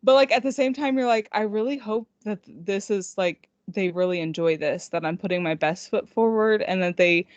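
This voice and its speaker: A woman's voice: mid-range voice, moderate pace, hardly any pauses, no room sound. Female indie author co-hosting a podcast, self-deprecating and conversational, with a dead-room, dull lo-fi sound. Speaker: podcast co-host. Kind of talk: casual chat.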